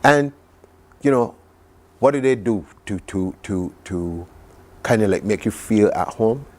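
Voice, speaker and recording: A man's voice: on the low side, expressive, unhurried. A Barbadian man speaking conversationally as a television interview guest, recounting things in an enthusiastic, reflective way. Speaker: studio guest recounting a trip.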